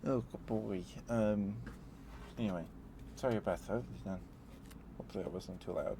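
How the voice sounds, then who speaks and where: A man with a very low voice chats casually on an ASMR livestream, with clear noise behind him. He meanders and hesitates as he talks to his viewers, often sounding apologetic. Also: normal speed. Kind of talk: rambling ASMR stream, casual chat with viewers.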